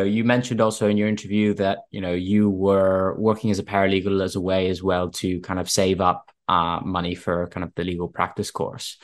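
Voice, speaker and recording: A man interviewing a guest, asking long, hesitant questions full of fillers. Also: low voice.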